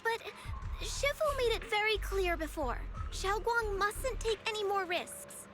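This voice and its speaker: A woman's voice: extremely high pitch, lively intonation, unhurried. A woman voicing an earnest, worried young female disciple in a game. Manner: earnest, concerned. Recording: game audio under loud music.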